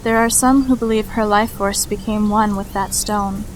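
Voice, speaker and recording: A woman playing an older ancestral mage in a fantasy audio drama, speaking in a measured, formal and solemn way. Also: medium pitch, fairly even intonation, a little hiss or hum, close to an everyday voice. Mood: grave, knowing.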